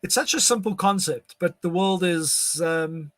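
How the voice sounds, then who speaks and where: A man's voice: high-pitched, a little slow. A man from South Africa, whose first language is Afrikaans, talking casually with many fillers and restarts. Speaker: podcast guest. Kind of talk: casual conversation.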